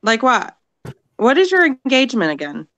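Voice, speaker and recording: A woman's voice: on the high side, very animated, normal speed, a bit bright. A woman from Texas in a casual livestream chat who keeps pressing the others with questions.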